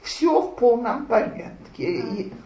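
A woman giving a lecture on raising children, talking in a slow, measured way with frequent pauses to think. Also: a little high, sing-song, mellow, a little hiss or hum. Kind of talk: lecture with audience discussion. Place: lecture room with audience. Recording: roomy, muffled lecture recording.

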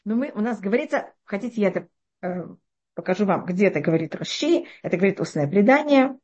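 A middle-aged woman teaching a religious text class, explaining with lively rhetorical questions and frequent restarts. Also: mid-range voice, fast talker, husky, muffled recording. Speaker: Torah teacher.

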